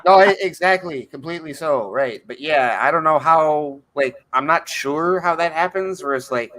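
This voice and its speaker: A man in casual livestream chat, halting and often repeating the start of his phrases. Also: a little high, fairly bright tone. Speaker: livestream panelist.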